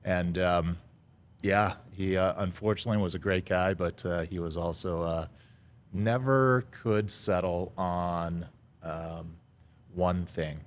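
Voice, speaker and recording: A middle-aged man, a guest telling his life story in a casual interview, pausing often on "uh" and chuckling now and then. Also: sits low, steady tone. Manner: halting, lots of "uh" fillers, chuckles now and then. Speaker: restaurant founder, podcast guest.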